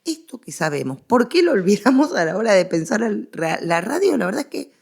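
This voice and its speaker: A woman's voice: mid-range voice, very animated, fairly quick, gravelly, darkish tone, husky. A middle-aged woman with an Argentine accent explains her ideas emphatically in a conversational academic interview, repeating phrases to drive them home. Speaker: guest historian interviewee.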